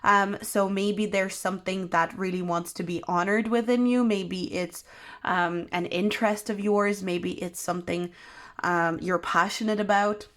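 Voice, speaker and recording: A young woman reads tarot on camera, her voice worn and hoarse because she is unwell. She talks softly and wanders, filling gaps with ums, at a middle pitch. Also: fairly even intonation, unhurried. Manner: soft, meandering, many ums. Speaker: tarot reader. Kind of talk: pick-a-card tarot reading.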